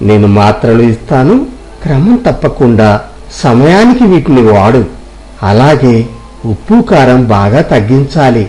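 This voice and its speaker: A man's voice: medium pitch, sing-song, unhurried, mellow, low-quality recording. A man playing a concerned village doctor who speaks carefully and instructively in an acted moral story. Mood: reassuring, cautioning.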